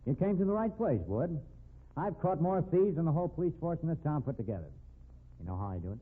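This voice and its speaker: A man's voice: a little high, very animated, quick pace, breathy edge. Hectoring male blackmailer for 1950s radio crime drama: slangy, swooping delivery, thin vintage sound. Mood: smug, scheming. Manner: hectoring, sneering, slangy tough-guy talk. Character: crooked bullying male private investigator, sneering blackmailer. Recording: tinny band-limited 1950s radio recording.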